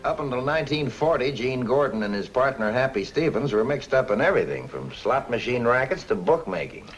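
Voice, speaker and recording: An actor playing a wry, frustrated police detective in a 1950s film noir. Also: male voice, medium pitch, big pitch swings, brisk, soft background music, natural voice. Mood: wry, informing. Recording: dull, faintly hissy old film soundtrack.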